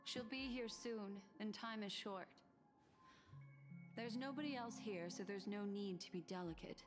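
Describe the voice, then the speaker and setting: An actress playing a poised, secretive, calculating woman in a game, speaking in a measured, musing way. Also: mid-range voice, lightly acted. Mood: coolly plotting. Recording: clear music behind the voice.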